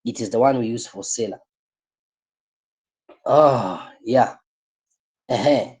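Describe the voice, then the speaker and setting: Weary husky-voiced man, business coach in a live class, dark mellow tone. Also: mid-range voice, takes it slowly. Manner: repeats points, asks rhetorical "what?" questions. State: tired, voice strained.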